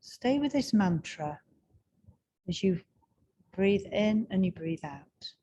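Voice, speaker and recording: A woman guiding a breathing meditation in a slow, soft and soothing way, with long pauses. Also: mid-range voice, lively intonation, husky. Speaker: meditation guide.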